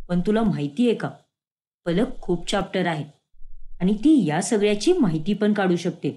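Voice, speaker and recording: A woman voicing a sneaky young husband in a cartoon story, pitching her voice low. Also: mellow, lightly acted. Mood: scheming. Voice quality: lowered voice.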